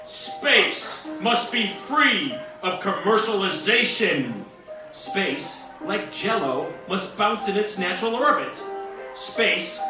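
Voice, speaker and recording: Heavy echo surrounds a distant, bombastic space captain from an old-time radio serial parody, with music behind him. He proclaims slowly and pompously, with a lecherous streak and hammy grandeur. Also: high-pitched, exaggerated voice.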